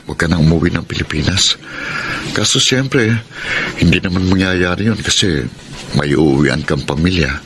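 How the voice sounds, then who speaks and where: A man's voice: low voice, normal speed, long pauses, noisy background, natural voice. An actor playing a shy, lovestruck man in a Tagalog radio romance, with a Filipino accent and a hesitant, earnest way of talking. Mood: nervous, pleading.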